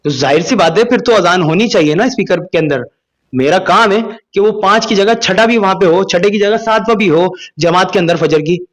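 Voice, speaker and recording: A man's voice: high-pitched, normal speed. A young man hosting a reaction video, scolding and indignant as he rebuts a clip. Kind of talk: critical reaction commentary.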